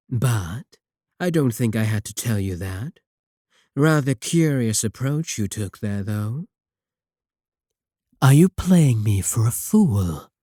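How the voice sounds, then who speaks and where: A man playing a sly, sadistic warlock in a roleplay audio drama, smug and possessive, with taunting, deliberate phrasing. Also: medium pitch, expressive, no room sound, clean studio sound. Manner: taunting, drawn-out, deliberate phrasing with dark chuckles. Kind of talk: scripted roleplay audio drama, spoken to the listener.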